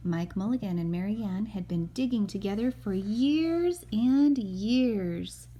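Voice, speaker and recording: A woman's voice: medium pitch, animated, a little slow, mellow, lo-fi sound, a little hiss or hum. A woman reading a picture book aloud to her young child, with casual asides as she goes.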